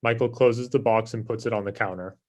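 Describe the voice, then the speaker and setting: A man's voice: fairly low pitch, steady tone, brisk. A man narrating stage directions for a scripted table read.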